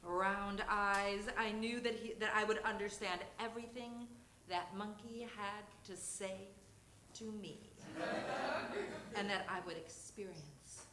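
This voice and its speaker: A woman reading a comic personal story live on stage, theatrically, with deliberate pauses. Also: mid-range voice, steady tone. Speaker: reader of a humorous memoir story. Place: theatre stage before an audience. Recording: roomy, noisy live stage recording.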